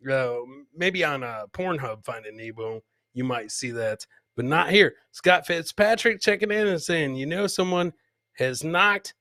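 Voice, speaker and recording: A man with a fairly high, sharp, forceful voice hosts a live comedy show. He talks casually to his viewers in crude, joking slang and repeats lines to drive them home. The sound is dry, with very little room in it. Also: no echo. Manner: repeats phrases for emphasis. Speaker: live comedy podcast host. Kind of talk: casual live clip commentary, chatting with viewers.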